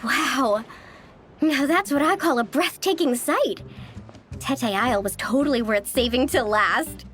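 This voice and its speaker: A woman's voice: high-pitched, animated, a little slow, a bit bright, faint music behind. A woman voicing an upbeat, sociable young woman in a video game, breezy and chatty. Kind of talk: animated video game voice acting.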